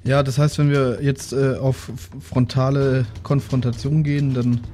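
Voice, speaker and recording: A man's voice: medium pitch, level tone, measured pace, mellow. A man chatting casually as a player in a tabletop role-playing game. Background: background music.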